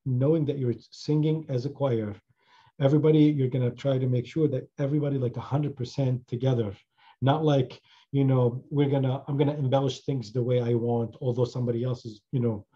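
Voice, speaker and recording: A man's voice: medium pitch, dark tone, highs cut off. A man who teaches chant, talking casually in an online class, rambling with many fillers and restarts. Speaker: chanter and instructor.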